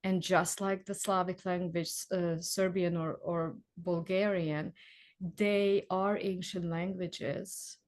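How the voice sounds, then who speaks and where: A woman whose first language is Hungarian, interviewing a guest in a thoughtful, unhurried way. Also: on the low side, little pitch movement. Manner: unhurried, thoughtful, soft backchannels. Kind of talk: interview questions.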